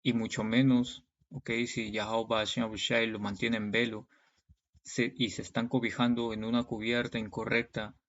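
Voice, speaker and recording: A man's voice: medium pitch, flat delivery, normal speed. A man preaches in a didactic way, reading Bible verses and explaining them, often checking in with short tags. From Peru. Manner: didactic, punctuated with check-in tags like "okay" and "right". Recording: dull, lo-fi sound.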